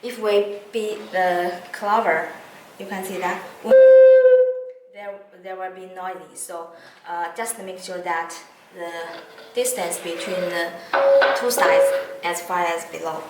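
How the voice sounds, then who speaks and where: A woman who is not a native English speaker gives an unscripted product demonstration, hesitant and pausing often mid-phrase. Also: on the low side, fairly even intonation. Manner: halting, hesitant speech with frequent pauses mid-phrase. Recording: roomy echo, distant mic, background noise.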